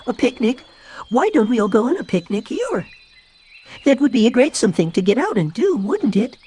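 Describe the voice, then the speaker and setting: A man's voice: very high-pitched, very animated, normal speed, a little mellow, breathy edge, pitched above the performer's own voice, exaggerated voice. A man voicing a very small, timid, eager piglet with a thin little voice in a children's storybook. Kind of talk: children's storybook read-aloud.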